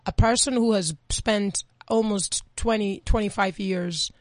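A woman's voice: mid-range voice, a little slow, no echo. A woman in her forties from Kenya, a former model talking candidly as a guest on a chat show. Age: 40s. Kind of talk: casual interview chat.

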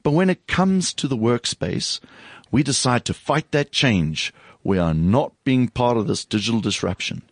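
A man with a hoarse, husky voice, speaking as a guest on a studio radio show, reflective and hesitant, with many fillers. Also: mid-range voice, animated, moderate pace, no room sound. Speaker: bank executive guest. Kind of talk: casual radio chat.

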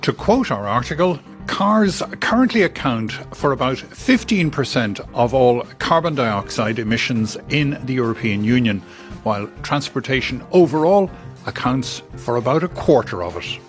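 A man's voice: mid-range voice, animated, normal speed, faint music behind. A man co-presenting a radio programme, reading scripted segments in a studio. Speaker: radio co-host.

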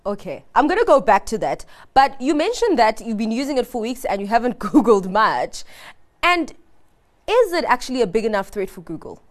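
A woman's voice: a little high, big pitch swings, a little fast. A woman hosting a news interview who asks probing questions with frequent hesitations. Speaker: interviewer host.

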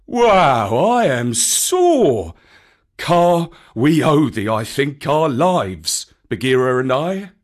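A man reading a storybook aloud, voicing a big old bear who speaks loudly and with feeling. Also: medium pitch, big pitch swings, very slow. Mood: sore. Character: big old bear, gruff and tender-hearted.

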